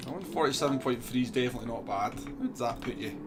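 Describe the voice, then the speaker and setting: A man's voice: medium pitch, expressive, fast talker, background noise. A man hosting a gaming livestream, chatting casually and replying to viewers as he goes. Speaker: livestream host. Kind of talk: casual gaming stream chat.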